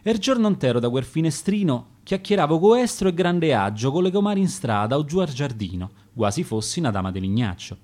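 A chatty dead neighbour woman talks of her window days, as a man reads her in a Roman accent. She is gossipy and easy, her pitch lively. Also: fairly quick, few pauses.

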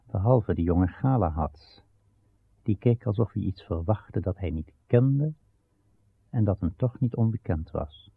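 A low-voiced man tells an old legend as a radio play narrator, slow and grave. His voice is husky with a gravelly edge. The recording is muffled and narrow. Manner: grave, measured, dramatic pauses. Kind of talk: solemn literary storytelling of Arthurian legend.

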